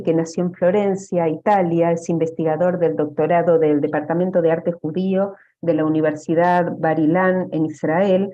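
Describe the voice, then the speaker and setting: A woman's voice: lowish voice, dark tone, lo-fi sound. A woman moderating a seminar, reading out formal introductions of the speakers and giving instructions to the participants. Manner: reads brisk introductions, then gives practical instructions.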